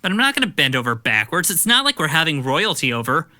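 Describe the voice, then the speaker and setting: A surly teenager in a naturalistic audio drama. The voice is very sharp and slightly low for a female, with whiny, sarcastic delivery and lively pitch swings. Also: fast talker, full character voice. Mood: defiant, irritated. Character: surly, sarcastic teenage child resisting chores.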